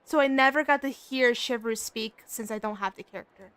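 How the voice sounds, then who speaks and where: A woman's voice: a little high, a little fast, fairly bright tone. A young adult woman chatting casually as a livestreamer playing a game.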